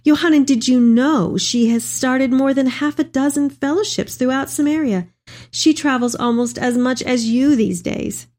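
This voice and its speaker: A woman playing an elderly, devout mother reading her tender letter aloud in an expressive, affectionate dramatic reading. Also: high voice, moderate pace, natural voice. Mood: fond, joyful.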